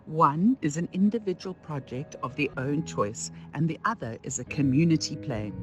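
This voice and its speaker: A woman presenting a scripted introduction in a formal, measured way. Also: low-pitched. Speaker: presenter introducing a school radio play. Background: music.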